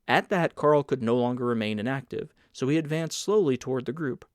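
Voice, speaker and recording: A man reading literary fiction aloud as a scripted, measured audiobook narration. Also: medium pitch, dry recording, studio quality. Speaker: audiobook reader.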